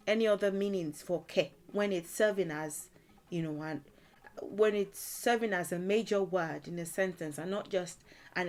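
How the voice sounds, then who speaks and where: A woman's voice: mid-range voice, a bit bright. A woman teaching a language lesson, explaining word meanings patiently and thinking aloud as she goes. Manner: thinking aloud with frequent fillers and restarts. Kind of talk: explaining vocabulary in a video lesson.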